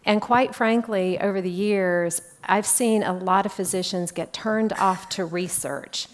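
A woman's voice: medium pitch, measured pace. Earnest woman clinician on a panel. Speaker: clinician and former industry panelist. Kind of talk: panel discussion. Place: indoor conference stage. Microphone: headset mic.

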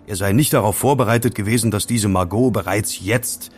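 An actor playing a casual amateur sleuth who recounts intrigue in a wry, conversational way in an audio drama. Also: male voice, on the low side, fairly quick, lightly acted. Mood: earnest, recounting. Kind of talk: German audio drama dialogue.

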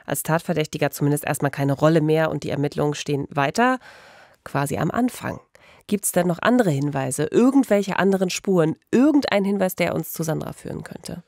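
A woman's voice: low-pitched, expressive, a little fast, a little mellow, no echo, clean studio sound. A middle-aged woman hosting a true-crime podcast in a conversational, engaged and emphatic way.

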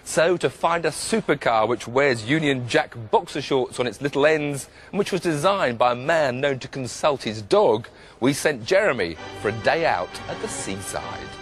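A man's voice: mid-range voice, expressive, moderate pace, faint music behind. A middle-aged man with a British accent giving a scripted, tongue-in-cheek introduction as a television presenter. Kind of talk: scripted studio link to camera.

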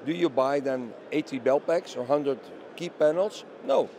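A man's voice: fairly high pitch, measured pace, vocal fry, mellow. A middle-aged man being interviewed at a trade show, explaining products and often answering his own questions. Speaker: company representative being interviewed. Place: busy trade show hall booth. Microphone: handheld interview microphone held by interviewer. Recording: faint bustling exhibition hall noise.

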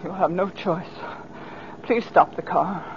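An actress playing an earnest, anxious wife in the style of 1940s radio drama. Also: female voice, lowish voice, steady tone, takes it slowly. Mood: cool, resolute. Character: earnest, anxious wife leaving her husband. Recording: dull, faintly noisy 1940s radio recording.

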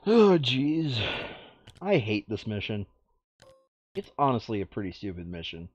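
A man casually playing through a board game, reading card text aloud and adding expressive, muttered asides. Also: lowish voice, a little slow, breathy edge, no room sound, highs cut off.

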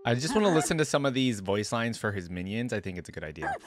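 Animated young man doing casual reaction commentary. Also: medium pitch, fast, faint music behind. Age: young adult. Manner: amused, informal. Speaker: reaction video host. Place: indoor streaming setup. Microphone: close desk microphone with headphones.

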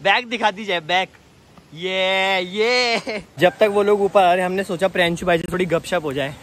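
A man's voice: high-pitched, moderate pace, fairly bright tone. A young man in his twenties vlogging outdoors on a hillside trail, joking and teasing his friends into a phone held at arm's length. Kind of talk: casual travel vlog.

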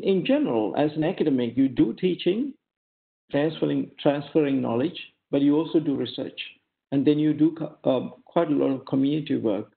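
Male academic lecturer, Sri Lankan accent, Sinhala native, gravelly creak, halting explanatory delivery, thin phone-like online audio. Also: medium pitch. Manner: halting, often checks with "okay?" and "right?". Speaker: academic giving a career talk. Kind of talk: informal lecture over slides.

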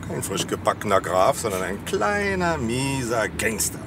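An actor playing a matter-of-fact, analytical investigator in a German crime radio drama. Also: male voice, mid-range voice, sing-song, normal speed. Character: matter-of-fact male investigator piecing a case together. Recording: distant pickup with outdoor traffic noise.

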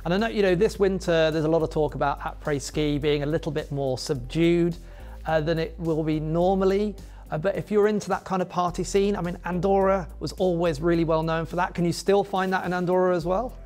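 Slightly high-voiced male interviewer. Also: steady tone, a little fast, darkish tone, faint music behind. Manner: friendly, chatty, leading questions. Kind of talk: interview questions. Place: indoor studio set with wooden walls.

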